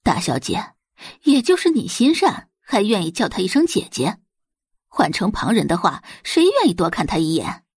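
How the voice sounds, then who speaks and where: A woman voicing a snobbish middle-aged female housekeeper in an audio drama, flattering and tattling with exclamations. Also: mid-range voice, moderate pace, slightly rough, dry recording, exaggerated voice. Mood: fawning.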